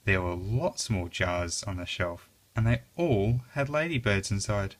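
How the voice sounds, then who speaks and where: A reader narrating a children's story aloud in an expressive, dramatic way. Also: sits low, unhurried.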